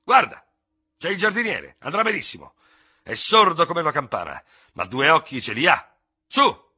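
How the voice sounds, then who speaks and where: A man voicing a furious, hot-headed small Gascon cavalry lieutenant, sputtering, hissing and shouting in an audiobook story. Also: lowish voice, fairly even intonation, a little fast, husky, no room sound, studio quality, pitched above the performer's own voice. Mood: furious, impetuous.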